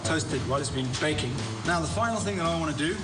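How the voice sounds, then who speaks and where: A man's voice: fairly high pitch, lively intonation, fast, recorded from a distance. Upbeat chatty male chef, roomy sound. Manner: enthusiastic, chatty, explains as he cooks. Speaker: chef and show host. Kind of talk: casual cooking demonstration. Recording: roomy, off-mic, music behind.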